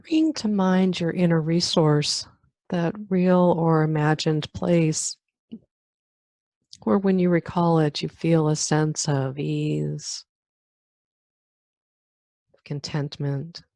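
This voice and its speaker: A woman leading a guided meditation in a calm, unhurried manner, leaving long pauses between phrases. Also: deep voice, gravelly, no echo. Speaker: meditation guide, yoga nidra teacher. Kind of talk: guided meditation, body scan.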